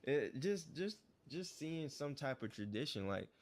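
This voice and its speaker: A man co-hosting a podcast in relaxed, rambling casual chat, often saying "bruh" and "like". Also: on the high side, a little slow. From United States. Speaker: podcast co-host.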